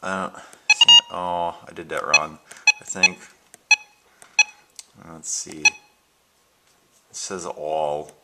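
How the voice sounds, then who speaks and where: A man's voice: low voice, wildly swooping pitch, extremely slow, pauses often. An American man giving a casual, unscripted home show-and-tell of his gadgets, thinking aloud in a hesitant way. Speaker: amateur video maker showing his gadgets. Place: indoors at home and in a workshop. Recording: noisy amateur home video with handling clicks.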